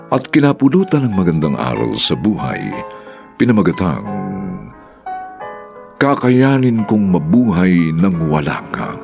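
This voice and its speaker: A man's voice: deep voice, vocal fry. A man with a Filipino accent announcing a radio drama in a formal, theatrical, scripted style.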